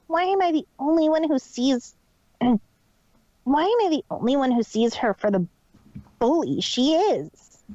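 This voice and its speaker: A woman's voice: high voice, lively intonation, a little slow, long pauses, mellow, no room sound, lo-fi sound. A woman voicing a brash, grumbling young female pony in a story reading, blunt and indignant.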